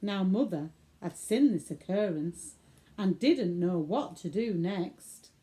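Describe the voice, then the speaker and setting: A woman with a Northern English accent recites comic verse as an expressive, theatrical bedtime storyteller for children. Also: medium pitch. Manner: theatrical, deliberate delivery.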